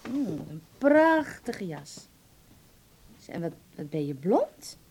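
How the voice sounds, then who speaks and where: A woman's voice: on the low side, big pitch swings, slow, darkish tone, a little hiss or hum. An actress playing a doting, fussing middle-aged mother in a Dutch radio play. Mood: tender, excited. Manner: fond, fussing, exclaiming. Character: doting middle-aged mother welcoming her son home.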